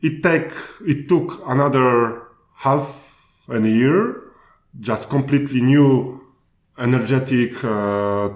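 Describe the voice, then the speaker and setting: A man with a Polish accent answering interview questions in unhurried, halting English, starting sentences over now and then. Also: mid-range voice, echoey room. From Poland. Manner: slow, halting answers with restarts. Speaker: guest speaker. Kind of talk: podcast interview.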